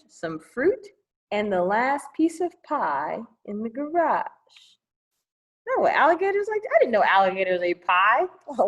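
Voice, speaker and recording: A woman's voice: on the high side, lo-fi sound. A woman hosting a children's storytime, reading aloud playfully with casual, exclamatory asides. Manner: playful, exclamatory, commenting as she reads. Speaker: children's librarian storytime host.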